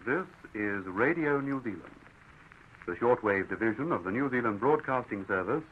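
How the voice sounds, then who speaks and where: A man giving a formal, measured radio station announcement. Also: mid-range voice, expressive. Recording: tinny, phone-like archive radio recording with faint hiss.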